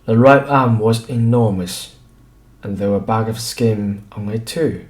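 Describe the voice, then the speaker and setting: Slow, deliberate male storyteller in echoey room. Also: lowish voice, steady tone, a little mellow. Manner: deliberate, with pauses between short phrases. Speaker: audiobook reader. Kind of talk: scripted story narration.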